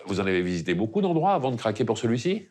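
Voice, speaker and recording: A man's voice: fairly low pitch, very animated, racing, darkish tone. A male television presenter doing a chatty, playful on-location interview in a shop. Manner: chatty, playful, leading questions. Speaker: TV home-decor show presenter. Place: indoor decor shop.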